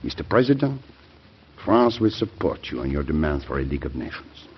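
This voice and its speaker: Shrewd, world-weary French elder statesman for old radio: courtly, expressive, muffled vintage recording. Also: extremely low pitch, slightly rough, soft background music, full character voice. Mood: warm, solemn. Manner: formal, measured, courtly. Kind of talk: classic radio drama acting. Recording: dull, band-limited old radio recording.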